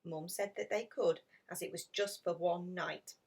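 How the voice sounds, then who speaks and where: A woman reading a children's picture story aloud in an expressive, dramatic storytelling manner. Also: fairly low pitch, unhurried, slightly rough, far from the mic. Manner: animated, dramatic emphasis with hushed passages. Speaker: storybook reader.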